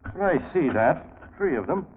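An actor playing a nervous, blustering cemetery worker in a 1940s radio mystery, agitated and stammering. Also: male voice, a little high, very animated, measured pace. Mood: spooked. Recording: old 1940s radio broadcast, tinny, faint noise.

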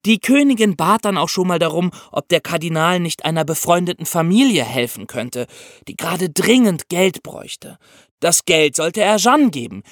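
A man hosting a podcast, telling history in a conversational, expressive storytelling style for young listeners. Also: sits high, moderate pace, no echo, clean studio sound. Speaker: podcast host.

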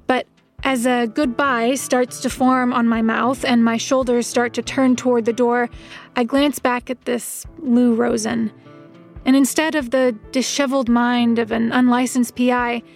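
Weary, high-pitched, creaky narrator. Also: female voice. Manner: measured, literary, wry. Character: world-weary, recovering-alcoholic woman narrator, reluctant detective's helper. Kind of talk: first-person scripted audio drama narration.

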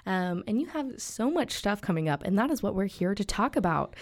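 A woman's voice: mid-range voice, very animated, a little fast. A woman hosting a podcast in a casual, effusive and enthusiastic interview style. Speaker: podcast host.

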